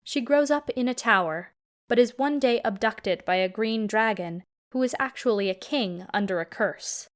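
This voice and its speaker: A woman's voice: fairly high pitch, normal speed. A woman reading scripted narration in a storytelling style, introducing pieces of classical music.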